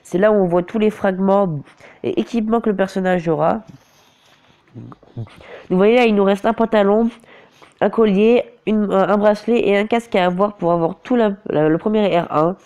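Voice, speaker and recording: A man narrates a mobile game while nursing a cold and a sore throat. His tone is mellow and relaxed, and he keeps halting mid-phrase to hunt for the right word before pressing on. It is loose, casual commentary recorded on a phone. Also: high voice, clear, resonant tone. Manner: hesitant, often restarts and corrects himself. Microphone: phone screen recorder.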